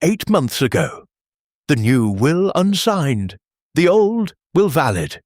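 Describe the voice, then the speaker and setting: A man voicing a bitter, defensive gentleman heir under suspicion in a mystery audiobook, clipped and resentful. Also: fairly high pitch, big pitch swings, slow, dry recording, studio quality, pitched above the performer's own voice. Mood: flat, resigned. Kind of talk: audiobook character voice.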